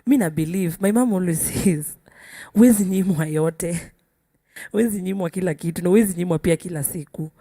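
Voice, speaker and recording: A woman's voice: fairly low pitch, brisk, mellow, dry recording. A Kenyan woman speaking as a singer on a panel, sharing her view in casual discussion.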